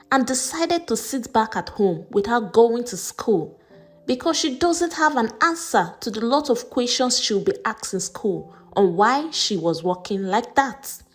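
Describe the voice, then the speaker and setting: Nigerian woman, folktale narrator, slow deliberate pace, expressive high voice, light background music. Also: slightly rough. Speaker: storyteller.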